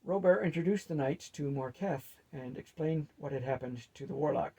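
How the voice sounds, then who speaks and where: A man's voice: a little high, normal speed, a little mellow, husky, rough recording. A man reading a fantasy story aloud as a narrator.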